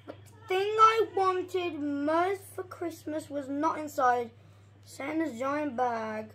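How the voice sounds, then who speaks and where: A young reader reading a storybook aloud haltingly, stumbling over and repeating words. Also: high voice, sharp tone.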